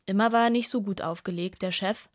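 A woman's voice: medium pitch, a little fast, no room sound, studio quality, close to an everyday voice. A woman playing a former household girl in a radio play, reminiscing fondly as a witness. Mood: reflective.

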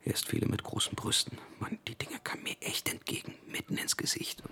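An actor playing a shameless, crude oversharer in a radio play, hoarse and unembarrassed, speaking deliberately. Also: male voice, pushed and bright, in a whisper, close to an everyday voice. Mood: shameless, confiding. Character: shameless oversharing man, crude but insisting he is empathetic.

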